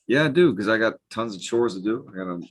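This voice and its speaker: Casting: man, thirties, lowish voice, blunt and profane, loose late-night panel banter. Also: expressive, quick pace. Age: 30s. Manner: profane, blunt slangy talk. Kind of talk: casual chat, bantering.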